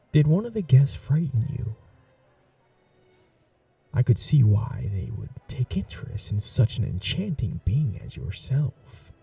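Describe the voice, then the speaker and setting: A man voicing an ancient royal vampire lord in an ASMR roleplay, suave and flirtatious, speaking slowly with low breathy whispers. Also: no room sound, distant mic, heavily acted. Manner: slow, seductive, softly whispering.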